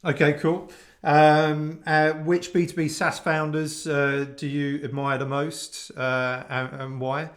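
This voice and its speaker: A man asking casual interview questions over a video call, in halting phrases broken up by many fillers. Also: a little high, little pitch movement. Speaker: interviewer, conference organiser.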